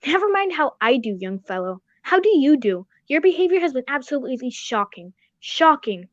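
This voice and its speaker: Radio drama role: old-fashioned, exclamatory gentleman companion, voiced by a woman, high voice, slightly muffled roomy sound. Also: very animated, moderate pace. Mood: indignant. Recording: dull, roomy recording.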